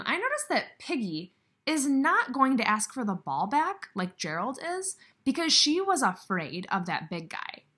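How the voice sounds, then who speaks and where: A woman's voice: sits high, a bit bright, breathy edge. A woman, reading and asking questions as a kindergarten teacher. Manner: instructional, questioning, addressing children directly. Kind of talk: read-aloud lesson for young children. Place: indoor room with brick wall, by a window.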